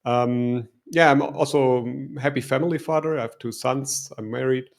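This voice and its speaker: Middle-aged man, german accent, gravelly voice, thoughtful and hesitant, interview guest. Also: mid-range voice, fairly even intonation, normal speed. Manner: reflective, hesitant, with restarts and "um"s. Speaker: guest, life coach. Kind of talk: interview answers.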